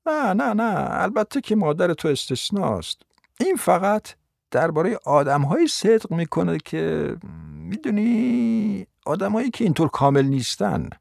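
Man putting on a brash, high-voiced old grandmother. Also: big pitch swings, gravelly, a little mellow, dry recording, studio quality, full character voice. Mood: dismissive, backtracking. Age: older. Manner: scolding, irreverent, grumbling. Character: brash, sarcastic, defiant old grandmother who smokes.

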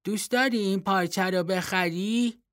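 A man voicing a naive, simple-minded young fool in a read-aloud folktale, talking in a childlike, pleading, excitable way. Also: high voice, no echo, studio quality. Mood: coaxing. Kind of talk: storybook reading.

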